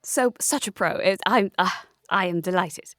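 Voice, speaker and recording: A woman's voice: fairly low pitch, normal speed, a bit bright, no echo. A woman improvising in-character chat, speaking politely and appreciatively with lots of hesitation. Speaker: roleplay show cast member.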